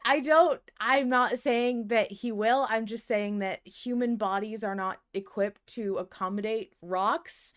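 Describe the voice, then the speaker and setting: A woman's voice: a little high, measured pace, few pauses. Wry woman chatting on a podcast. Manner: wry, pragmatic reasoning. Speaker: podcast co-host. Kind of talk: casual podcast discussion of a fiction show.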